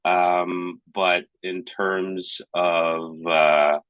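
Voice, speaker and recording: Man, 30s, from Hong Kong, podcast co-host, hesitant, rambling, deep voice, dry close sound. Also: flat delivery, drawn-out words, no echo, phone-quality sound. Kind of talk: casual chat.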